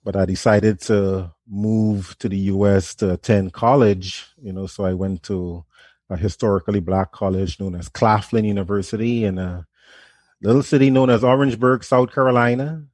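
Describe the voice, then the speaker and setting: A man from Trinidad and Tobago with a Trinidadian accent, a management professor speaking softly and in a friendly way as a radio guest. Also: lowish voice, fairly even intonation, normal speed, dry recording, clean studio sound. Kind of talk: radio interview.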